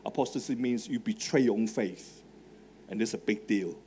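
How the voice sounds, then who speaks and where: A man's voice: lowish voice, moderate pace, warm tone, slight background noise. A middle-aged man with a Hong Kong English accent, a native Cantonese speaker, giving a live talk to students in a marquee tent. He speaks conversationally with fillers and asks the audience questions. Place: large marquee tent with a stage. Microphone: handheld microphone.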